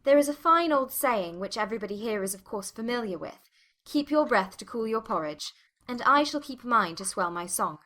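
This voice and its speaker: An actress playing a spirited, self-possessed young gentlewoman in a dramatized audiobook, arch and articulate. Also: on the high side, expressive, moderate pace.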